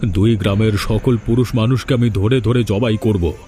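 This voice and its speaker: Man acting low-voiced, agitated cartoon villain. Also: steady tone, moderate pace, mellow, natural voice. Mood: defiant. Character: agitated, selfish male villager, fearful and vengeful. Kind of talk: scripted cartoon dialogue. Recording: music under the voice.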